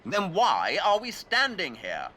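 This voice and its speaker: An actor playing a brilliant, impatient Victorian detective in an audio drama, brusque, sarcastic and condescending. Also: male voice, high-pitched, wildly swooping pitch, a little slow, sharp tone, heavily acted. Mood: impatient, scornful.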